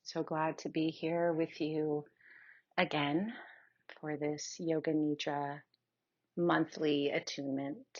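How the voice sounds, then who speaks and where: A woman leading a guided yoga nidra meditation in a soothing, unhurried manner with long pauses. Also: low-pitched, fairly bright tone, dull audio.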